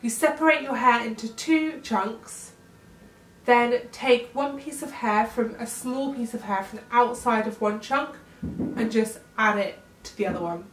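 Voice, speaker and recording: Young woman, nasal from a cold, chatty vlogger; bright-ish voice, distant roomy sound with faint background hum. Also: a little high, measured pace. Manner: chatty, upbeat, often trails off while styling hair. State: congested from a cold. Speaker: beauty vlogger demonstrating a hairstyle. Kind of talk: casual how-to tutorial. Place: bedroom, indoors.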